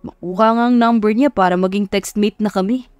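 A woman's voice: medium pitch, steady tone, brisk, darkish tone, clear, resonant tone, no room sound, faint music behind, close to an everyday voice. A woman voicing a joking male bodyguard who playfully teases his boss, in an audio love story. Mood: teasing. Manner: playful, deferential.

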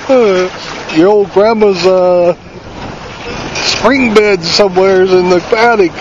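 A folksy man with a fairly high voice narrates casually and slowly outdoors. He rambles in plain colloquial talk as if to himself, over clearly audible wind and water noise. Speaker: amateur vlogger. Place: outdoors on a windy lake pier. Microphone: handheld camera or phone. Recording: muffled outdoor phone recording, loud wind noise.

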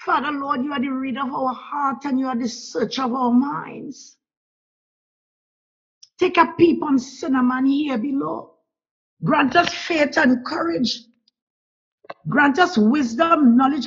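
High, gravelly woman leading a pleading prayer. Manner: pleading, studded with repeated calls of "oh God" and "Lord". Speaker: prayer leader. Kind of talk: fervent spoken prayer. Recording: dull lo-fi recording.

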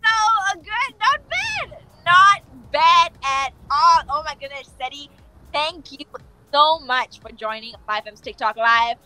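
A young woman, hosting a playful video-call interview in a bubbly, expressive way. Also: high voice, slow pace, slight background noise, poor audio. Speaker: radio show host. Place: indoor studio room.

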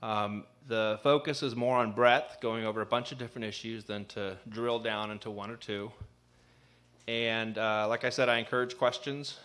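A man's voice: on the low side, fairly even intonation, moderate pace, clear, resonant tone. A man in his thirties, an assistant city attorney, giving a conversational ethics training in a city meeting room at a desk microphone, with many fillers. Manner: says he has a loud voice. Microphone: desk microphone on a stand.

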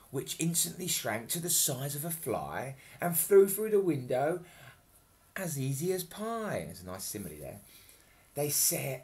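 A man in his thirties reads a picture book aloud to children. His pitch moves up and down in a very lively way, and he is playful and expressive. He often drops into chatty asides to explain what is going on. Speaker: storyteller reading to children. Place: home kitchen, indoors. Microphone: webcam at arm's length.

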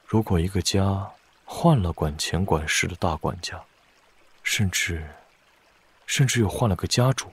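An actor playing a calm, calculating blind male strategist in a wuxia audio drama, speaking in a measured, deliberate way. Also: low-pitched, husky, no echo.

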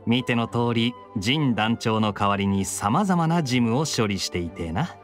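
Actor doing a young knight captain, suave, unhurried, expressive intonation, anime game style. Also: male voice, medium pitch, faint music behind, lightly acted. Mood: wry, casual.

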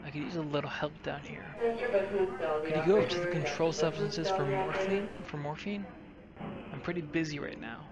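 A man's voice: on the high side, moderate pace, over loud music. A man casually narrating his roleplay playthrough of a video game. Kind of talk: casual game commentary, partly in character.

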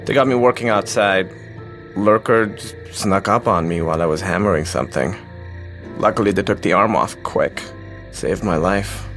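A man's voice: low voice, moderate pace, a bit bright, soft background music. An actor playing a weary, kindly, resigned male survivor in a video game. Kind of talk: naturalistic video game acting.

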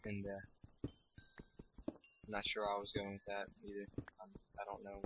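A man's voice: lowish voice, monotone, moderate pace, background noise. A tired young man rambling through casual gameplay commentary, swearing freely and losing his train of thought. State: tired and stoned. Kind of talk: casual let's-play commentary.

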